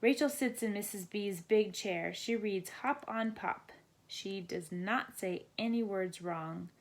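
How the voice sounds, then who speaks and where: Female storybook reader, mid-pitched, deliberate delivery for young children at bedtime.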